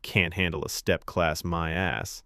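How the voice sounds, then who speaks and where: A man's voice: sits low, a little slow, sharp tone, smooth, dry recording, pitched below the performer's own voice, full character voice. A man voicing a confident, wry young man with a casual, dry-humored manner in an audio story. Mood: cocky.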